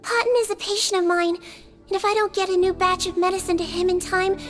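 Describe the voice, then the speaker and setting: An actress voicing a tiny, earnest young nurse in a video game, sweet and politely stubborn. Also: female voice, extremely high pitch, fairly even intonation, fairly quick, soft background music.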